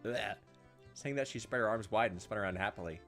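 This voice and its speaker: Male gaming host, brisk delivery, reads game text with wry casual asides, music underneath. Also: on the low side, creaky, a bit bright. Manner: jokey asides and reactions. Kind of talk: casual let's play, reading visual novel text aloud with offhand commentary. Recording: music behind the voice. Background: visual novel game music.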